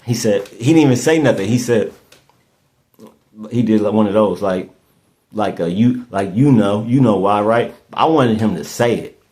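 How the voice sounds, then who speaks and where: A man's voice: on the low side, moderate pace, a little mellow. A man, hosting a podcast and telling a story in casual chat, rambling and restarting his words often. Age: 30s. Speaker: podcast host.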